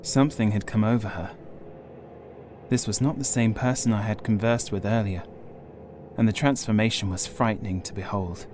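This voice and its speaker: A man reading a horror audiobook as scripted dramatic narration, grave, measured and suspenseful. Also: fairly low pitch, fairly even intonation, noisy background. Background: music.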